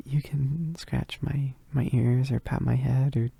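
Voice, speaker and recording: A performer voicing a shy, needy, affectionate young werewolf roommate in a roleplay ASMR, with soft, breathy, halting, giggly speech that often drops to whispers. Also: medium pitch, fairly even intonation, dry recording, exaggerated voice. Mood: shy, content. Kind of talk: roleplay ASMR, intimate one-sided dialogue.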